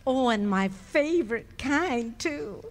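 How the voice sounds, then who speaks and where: A fond, teasing wife who takes her time over each line, played by a woman. Her pitch swings widely, and a long hall reverb trails every word. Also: lively intonation. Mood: delighted. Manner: fond, teasing, drawn-out delivery. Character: patient teasing middle-aged wife. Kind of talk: 1940s radio acting. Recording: live stage in a hall.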